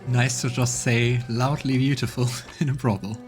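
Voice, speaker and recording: A man hosting a video game let's play, thinking aloud in a relaxed, wry way as he plays. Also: medium pitch, moderate pace. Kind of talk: casual gameplay commentary. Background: game music.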